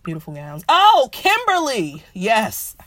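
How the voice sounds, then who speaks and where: A woman's voice: fairly high pitch, huge pitch swings, slow pace, fairly bright tone, no room sound. A woman co-hosting a casual chat show, giving frank, opinionated takes on red-carpet fashion in a laid-back way. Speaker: podcast co-host.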